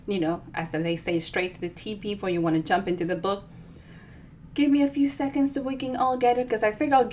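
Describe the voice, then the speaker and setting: A woman's voice: fairly high pitch, slight background noise. A woman from the Dominican Republic chats casually and playfully as an author hosting a livestream storytime, in a bubbly, informal way. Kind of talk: casual chatty livestream talk.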